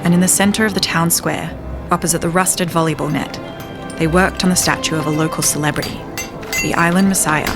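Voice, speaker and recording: A woman narrating a scripted audio fable in a measured, wryly ornate storybook manner. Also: low voice, steady tone, over music. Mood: wry storytelling. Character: wry, grandiose storybook narrator of an island fable.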